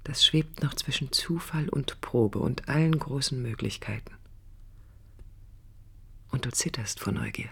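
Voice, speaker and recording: An actress playing a passionate young woman looking back on her life in an intimate, measured radio drama monologue. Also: very deep voice, vocal fry, fairly bright tone, breathy edge, whispered, heavily acted. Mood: wistful.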